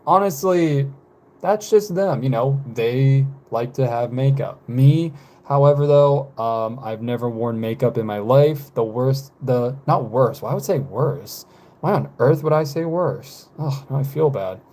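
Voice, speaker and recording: Man, mid-twenties, live streamer, unhurried and rambling, lots of fillers and little laughs. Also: mid-range voice. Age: 20s. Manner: rambling, hesitant, many fillers and self-corrections; frequent soft laughs. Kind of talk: casual chat with live viewers.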